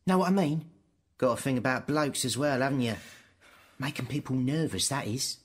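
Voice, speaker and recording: An actor with a British accent playing smug establishment interrogators in a radio drama, condescending and insinuating. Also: male voice, mid-range voice, moderate pace, breathy edge, natural voice. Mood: menacing, chummy. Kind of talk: theatrical radio drama dialogue.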